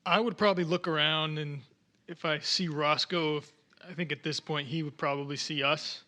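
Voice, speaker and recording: A man's voice: on the high side, steady tone, moderate pace, bright, forceful tone. A man playing a tabletop game, casually describing his actions in a hesitant, tentative way. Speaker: player.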